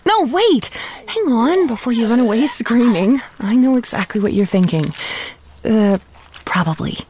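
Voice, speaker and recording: A woman's voice: a little high, expressive, unhurried, darkish tone, a little hiss or hum. An actress playing a chatty, quirky zombie woman in her thirties, narrating a comedic audio drama with wry, playful asides. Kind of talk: comedic audio drama monologue, breaking the fourth wall.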